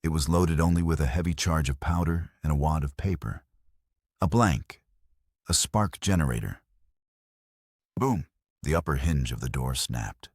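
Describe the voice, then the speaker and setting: A man with an extremely low, husky voice narrates a dramatic story. His delivery is scripted and theatrical, and the sound is close and dry. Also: moderate pace, long pauses, a little mellow, no echo.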